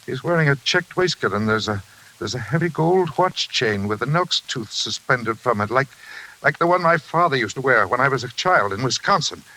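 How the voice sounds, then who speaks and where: A man's voice: mid-range voice, lively intonation, moderate pace, sharp tone, rough recording. An actor with an American accent playing a troubled, intense psychiatric patient confessing his past in a 1940s radio drama. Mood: haunted, reminiscing. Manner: confessional, melodramatic.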